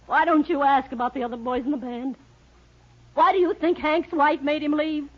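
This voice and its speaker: An actress with an American accent playing a desperate, jealous wife in a 1950s radio drama, insistent and emotional. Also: female voice, high-pitched, fairly even intonation, normal speed, lightly acted. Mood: accusing. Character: desperate, jealous young wife, pleading and accusing. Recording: muffled, lo-fi 1950s radio recording.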